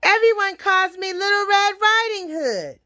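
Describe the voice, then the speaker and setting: A cheerful little storybook girl played by a woman, high and bright. She is polite and trusting, with a sweet, innocent lilt that swings up and down. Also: lively intonation, unhurried, dry recording, studio quality, exaggerated voice.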